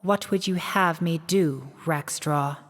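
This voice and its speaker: A woman voicing a stern, regal female pony ruler in a fan-made radio drama, speaking in a measured, commanding way. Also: deep voice. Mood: cool. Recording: long hall reverb.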